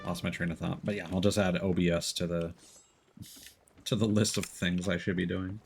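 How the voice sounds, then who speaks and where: A man's voice: low-pitched, little pitch movement, normal speed, vocal fry. A man hosting a casual gaming livestream, rambling and thinking aloud as he plays. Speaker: livestream host playing a game. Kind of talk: casual gaming stream chat.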